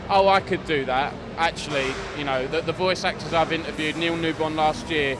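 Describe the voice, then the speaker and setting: A man with a fairly high voice asks a guest about her work, hesitant and full of fillers and restarts. Clear background noise fills the outdoor festival recording, with passing vehicles. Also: fairly even intonation, moderate pace. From United Kingdom. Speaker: esports news interviewer. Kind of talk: casual interview. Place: gaming festival.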